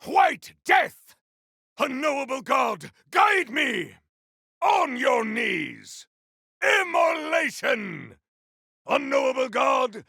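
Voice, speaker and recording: Casting: zealous video game warrior, rough raspy forceful voice, grandiose archaic delivery, slow and declamatory. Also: male voice, extremely high pitch, no echo, studio quality, exaggerated voice. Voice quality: gravelly, forceful. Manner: theatrical, archaic, declamatory battle cries. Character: bombastic, righteous warrior on a holy quest, zealous and grandiose. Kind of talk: video game combat voice lines.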